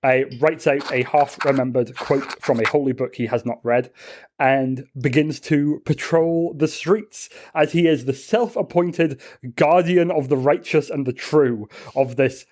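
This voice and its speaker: A man narrating his character in a tabletop roleplaying game with deliberate, literary wording. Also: mid-range voice, darkish tone.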